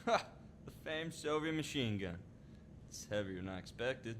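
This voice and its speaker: A man playing a calm, practical, obliging army captain in a radio war drama. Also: medium pitch, normal speed, background noise, close to an everyday voice. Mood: impressed.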